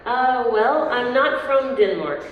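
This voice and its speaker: A young woman with a Southern American accent, a worship leader chatting casually in an interview, self-deprecating and quick to laugh. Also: on the high side, animated, narrow, tinny audio, a loud crowd. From southern United States. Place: large hall at a worship event. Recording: echoey hall, voice from far off, faint noise.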